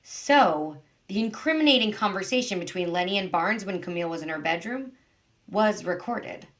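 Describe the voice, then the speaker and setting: Radio-play prosecutor female actor: businesslike, explanatory, medium register, animated intonation. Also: mid-range voice, moderate pace, close to an everyday voice. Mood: briskly explaining. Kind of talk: audio drama acting.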